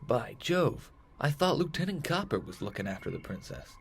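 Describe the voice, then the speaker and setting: A man's voice: mid-range voice, very animated, moderate pace, vocal fry, a little hiss or hum. A man playing an earnest, folksy heroic space captain in a retro sci-fi audio drama, full of old-fashioned exclamations. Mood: concerned.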